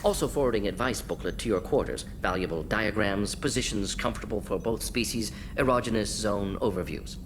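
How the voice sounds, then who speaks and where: A man voicing a brisk, eccentric alien scientist-doctor in a video game, speaking in clipped, deadpan clinical phrases with dry amusement. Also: on the low side, background noise, lightly acted. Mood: clinical, matter-of-fact.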